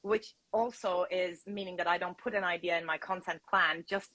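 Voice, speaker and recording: A woman's voice: on the low side, fairly even intonation, normal speed, pushed and bright, poor audio. A woman from Turkey with a Turkish accent, a content creator and coach chatting on a video call, laughing often. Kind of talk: casual interview chat.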